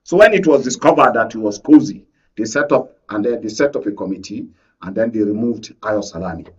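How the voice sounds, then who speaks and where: Male Nigerian political analyst, husky voice, insistent panel debater, dull off-mic studio sound. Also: fairly low pitch, a little fast, far from the mic. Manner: insistent, holds the floor, repeats phrases. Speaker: panel guest, media analyst. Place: radio and TV studio.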